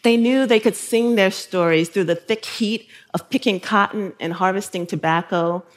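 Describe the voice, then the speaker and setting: A woman author from South Carolina speaking in a measured, thoughtful way, with soft laughs between phrases. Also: medium pitch. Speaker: guest author. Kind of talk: reflective interview and talk excerpts.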